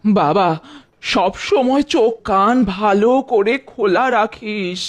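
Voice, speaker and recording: A man's voice: high-pitched, slow. A man voicing a tender, anxious parent in a cartoon, with a careful, cautioning manner.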